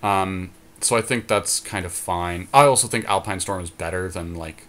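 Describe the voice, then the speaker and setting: A man talking casually through a card game, reasoning and counting aloud in a deliberate way. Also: deep voice, fairly bright tone. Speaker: podcast co-host and card game player.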